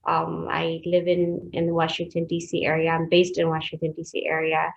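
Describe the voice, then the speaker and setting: A young woman from Ethiopia, a personal trainer, talking as a guest in a soft, hesitant, casual way. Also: deep voice, completely flat delivery, normal speed, creaky, slight background noise. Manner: soft-spoken, hesitant, laughs easily. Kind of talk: casual interview chat. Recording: dull lo-fi remote audio.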